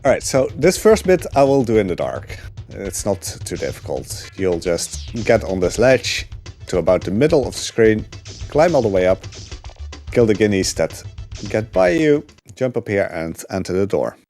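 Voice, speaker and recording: A man giving relaxed live commentary on his speedrun, explaining the route with frequent fillers. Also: lowish voice, moderate pace, faint music behind.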